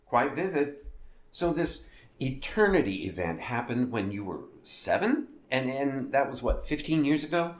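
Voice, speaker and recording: A man as lively story-show host, echoey room. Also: mid-range voice, unhurried. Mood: curious, puzzled. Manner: hesitant, repeats words, encouraging. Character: curious, sympathetic male host of a strange-story show. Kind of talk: audio drama talk show hosting. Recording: roomy, echoey voice recording.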